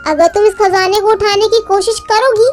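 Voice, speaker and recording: Woman as magical parrot, tiny very high childlike voice, solemn guide, storybook warnings, music behind. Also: fairly even intonation, normal speed.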